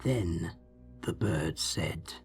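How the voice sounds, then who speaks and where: A man narrating gothic poetry in a theatrical, brooding manner, with deliberate phrasing. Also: low voice, expressive, gravelly, faint music behind. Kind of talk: dramatic gothic poetry reading.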